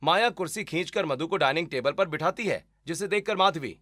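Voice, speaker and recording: A man's voice: a little high, lively intonation, brisk, fairly bright tone, no echo, clean studio sound. A man narrating a scripted cartoon family story.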